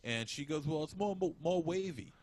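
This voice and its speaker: A man co-hosting a podcast, chatting casually about movies in a halting, hesitant way. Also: fairly high pitch, animated, a little mellow, dry recording. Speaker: podcast co-host. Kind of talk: casual movie review chat.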